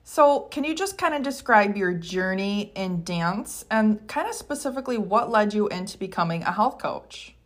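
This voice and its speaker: Woman, podcast host, scripted intro, short affirmations, mid pitch. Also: animated, moderate pace, hardly any pauses. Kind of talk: podcast interview.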